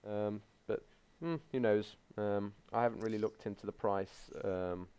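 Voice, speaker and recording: A man's voice: on the low side, steady tone, measured pace, dark tone, clear, resonant tone. A young man hosting a casual tech podcast, talking hesitantly with many ums. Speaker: podcast host.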